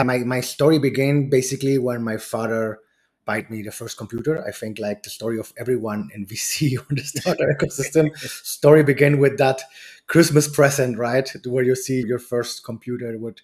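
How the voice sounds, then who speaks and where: Man with halting English. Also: mid-range voice. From Chile and Germany. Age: 40s. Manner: non-native English, halting restarts, chuckles often. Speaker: guest, venture capitalist. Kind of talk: casual interview talk.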